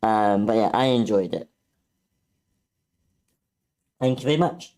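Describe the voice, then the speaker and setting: A man's voice: on the low side, expressive, a little fast, darkish tone. A man giving casual critique in an online meeting, tentative and thoughtful, hesitating as he goes. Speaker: script feedback participant.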